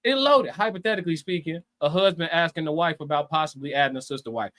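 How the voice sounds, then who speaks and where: A man with a fairly high-pitched voice chats casually as a live-stream co-host. He is animated and opinionated, leaning on slang and repeating his phrases to drive them home. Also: fast talker.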